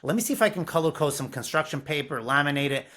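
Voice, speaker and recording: A man's voice: fairly high pitch, expressive, fast talker, a bit bright. A man from Brooklyn, a music curriculum founder talking earnestly and animatedly as a podcast guest. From Brooklyn, New York. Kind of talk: casual interview talk.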